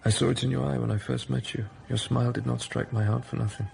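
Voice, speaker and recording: Very gravelly, brusque manor master. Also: male voice, mid-range voice, flat delivery, fast talker, darkish tone, soft background music. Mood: tender, intense. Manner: commanding, abrupt. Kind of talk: period radio drama acting.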